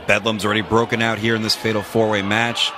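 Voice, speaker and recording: A man calling wrestling moves in quick succession as play-by-play commentator, with jokey asides. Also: lowish voice, flat delivery, noisy background.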